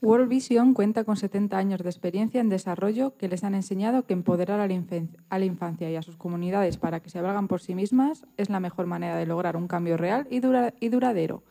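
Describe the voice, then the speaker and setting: A woman reads a prepared script as a radio presenter. She has a medium-pitched voice with a mellow, unforced tone. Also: fast talker, gravelly, hardly any pauses. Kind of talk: scripted narration, reading aloud.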